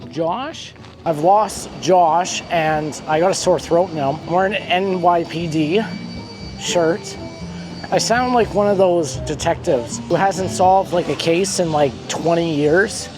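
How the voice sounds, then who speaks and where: A woman vlogging casually on a road trip, joking and self-mocking, her voice hoarse and fading from illness. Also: on the low side, slow pace, over music, low-quality recording. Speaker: travel vlogger.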